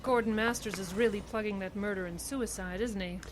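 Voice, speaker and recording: An actress playing a determined, quick-witted woman lawyer in an old-time radio detective play, assured, articulate and wry. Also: mid-range voice, steady tone, heavy background noise, natural voice. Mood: thoughtful, resolute. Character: determined, idealistic woman defense attorney, affectionate and quick-witted.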